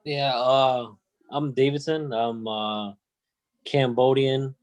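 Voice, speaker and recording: A man from Tennessee speaks as a guest on a podcast, soft-spoken and hesitant. His voice sits at a middle pitch and the sound is dry. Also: steady tone, slow, dry recording. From Tennessee, United States. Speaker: guest, music producer. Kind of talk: casual podcast chat.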